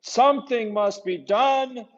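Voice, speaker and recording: A man's voice: very high-pitched, slow, muffled recording, pitched above the performer's own voice. A man voicing an alarmed, imperious countess in a children's storybook, exclaiming and commanding. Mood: alarmed, urgent. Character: alarmed, imperious Italian countess of medieval times.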